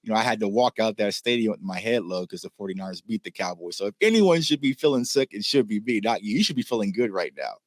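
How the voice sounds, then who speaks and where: A man from Oklahoma and Texas speaks as a football reporter in a casual interview. He talks quickly in a lowish voice with a very dry, close sound, spinning anecdotes conversationally. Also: lively intonation, no room sound. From Oklahoma and Texas, United States. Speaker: football reporter and YouTube host, as guest.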